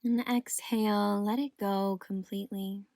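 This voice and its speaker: A woman leads a guided meditation at a very slow, unhurried pace. Her delivery is gentle and soothing, with a middle-range pitch. The recording is close and dry, with no room sound. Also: steady tone, no room sound.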